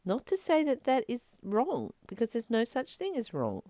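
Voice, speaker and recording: A woman speaking as a spiritual teacher, reflecting informally on a reading in an earnest, expressive way with emphatic repetition. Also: a little high, moderate pace, no room sound, clean studio sound. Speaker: spiritual teacher and channel host.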